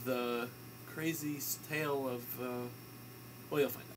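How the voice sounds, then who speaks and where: A man's voice: mid-range voice, fairly even intonation, measured pace, noisy background. A man co-hosting an amateur radio show in a casual, bantering style.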